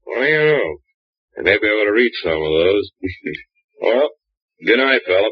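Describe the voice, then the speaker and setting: A raspy, chummy police lieutenant. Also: male voice, lowish voice, very animated, moderate pace, dry recording. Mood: easygoing. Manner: easygoing, chummy. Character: friendly male police lieutenant, easygoing. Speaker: actor playing a police lieutenant. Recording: dull, dry vintage radio broadcast.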